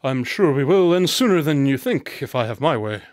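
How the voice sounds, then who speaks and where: A man's voice: medium pitch, lively intonation, normal speed, no echo, clean studio sound, close to an everyday voice. A man playing a composed, confident detective in a radio drama, with formal, precise wording.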